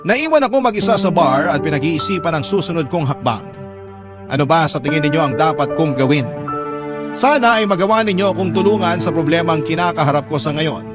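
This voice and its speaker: A man's voice: fairly high pitch, animated, fairly quick. A man with a Filipino accent narrating a story in dramatic radio storytelling style. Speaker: narrator reading a letter sender's story. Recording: loud music under the voice. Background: loud background music.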